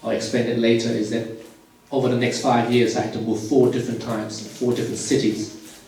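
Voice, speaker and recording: A man from Malaysia in his forties, a surgeon telling his life story to a church youth group in a relaxed, plain way with many "um"s. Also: mid-range voice, fairly quick. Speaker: surgeon giving a testimony. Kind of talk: personal testimony talk to youth. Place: church hall. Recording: very echoey hall, voice from far off, faint music.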